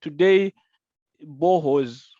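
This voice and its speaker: A man's voice: on the high side, unhurried, dark tone, no room sound. Zambian guy explaining stuff on a panel, asks rhetorical questions, kinda lo-fi dry audio. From rural Zambia. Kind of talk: panel discussion answer.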